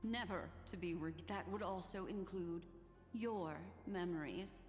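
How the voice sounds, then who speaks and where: An actress plays a composed young woman from a video game, her voice on the low side. She is measured, formal and quietly defiant, with a sardonic edge. Long reverb and music sit around her. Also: close to an everyday voice. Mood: cool, accusing. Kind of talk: scripted video game voice acting.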